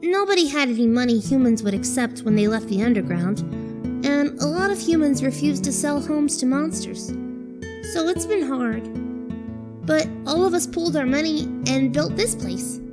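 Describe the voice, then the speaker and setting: Kind, earnest child narrator played by a woman, high-pitched and courteous, over clear music. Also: expressive, normal speed. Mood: happy, sincere. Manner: polite, sincere. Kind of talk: first-person fandub narration.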